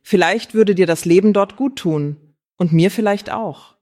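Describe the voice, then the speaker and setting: A performer playing a patient, gently persuasive companion in a radio play, articulate and gently pointed. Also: medium pitch, unhurried, lightly acted. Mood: coaxing.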